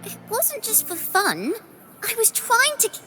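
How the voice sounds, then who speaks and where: A woman's voice: extremely high pitch, wildly swooping pitch, measured pace, bright, forceful tone, faint music behind, heavily acted. A woman voicing a curious, eager little girl in a video game, earnest and inquisitive. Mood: eager protesting.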